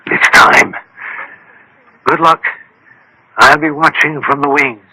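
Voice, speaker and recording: An actor playing a dry, unflappable detective in a radio drama, speaking in a measured, politely authoritative way. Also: male voice, fairly high pitch, pushed and bright, breathy edge, no echo, full character voice, poor audio. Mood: calm, dry. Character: dry, unflappable male detective directing a trap.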